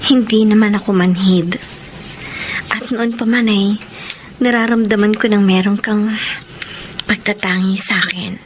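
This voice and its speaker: A woman acting a modest, grateful young housemaid in a radio drama, speaking sincerely and deliberately. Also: medium pitch, fairly even intonation, slight background noise, lightly acted. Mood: sincere, calm.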